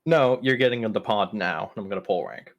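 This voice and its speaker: A man's voice: fairly low pitch, expressive, fairly quick, lo-fi sound. A man playing a tabletop roleplaying game, slipping between casual chat and in-character banter.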